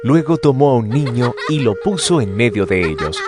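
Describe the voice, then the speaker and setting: A man's voice: mid-range voice, sing-song, moderate pace, over music. A man narrating scripture for an audio drama in a scripted storytelling style.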